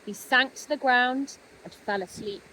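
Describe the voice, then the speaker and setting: A woman narrating a children's story expressively, with gentle dramatic pauses. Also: on the high side, slight background noise, rough recording. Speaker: storybook reader.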